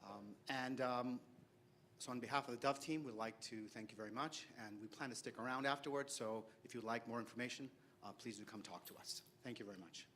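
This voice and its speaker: A man wrapping up a startup pitch and asking the audience for contacts, halting and full of fillers. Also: fairly bright tone, a little hiss or hum. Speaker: startup team member pitching. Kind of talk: closing a presentation.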